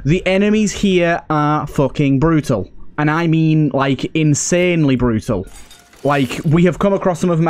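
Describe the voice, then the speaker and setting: Male gaming host, voice a little on the high side, casual running commentary. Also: darkish tone. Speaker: host of a gaming let's play series.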